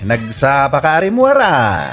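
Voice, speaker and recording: A man plays a skeptical, needling village farmer in Ilocano comedy drama. He talks slowly with a very animated melody, over faint music. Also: medium pitch. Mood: mocking, needling.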